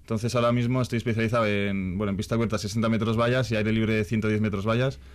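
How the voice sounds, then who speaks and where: A man's voice: lowish voice, little pitch movement, fast, clean tone. A young man with a northern Spanish accent, talking casually as an athlete being interviewed. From Vitoria-Gasteiz, Basque Country, Spain. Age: young adult. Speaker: athlete guest, hurdler.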